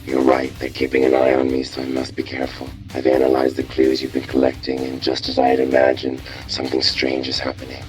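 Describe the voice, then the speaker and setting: An actor voicing a grave, secretive robot informant in a video game, cautious and conspiratorial. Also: male voice, very deep voice, completely flat delivery, a little fast, few pauses, over loud music, low-quality recording. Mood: guarded. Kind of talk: video game cutscene acting.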